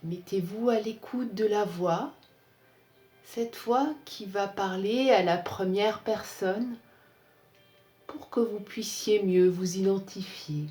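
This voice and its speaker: Female meditation guide, soft-edged mellow tone, calm measured phrasing, recorded with noticeable room echo. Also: mid-range voice, soft background music. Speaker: yoga nidra instructor. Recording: roomy, echoing sound.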